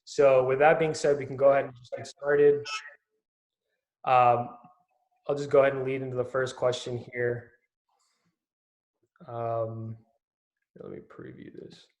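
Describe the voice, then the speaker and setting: A man's voice: mid-range voice, level tone, unhurried, long pauses, darkish tone. A man moderating a panel for students, in a casual way with many fillers. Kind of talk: hosting an online panel.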